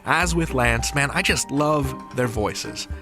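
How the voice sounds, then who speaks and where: A male podcast host with a creaky, medium-pitched voice. He presents with lively intonation over a clearly heard music bed. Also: takes it slowly. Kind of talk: scripted narration and interview talk.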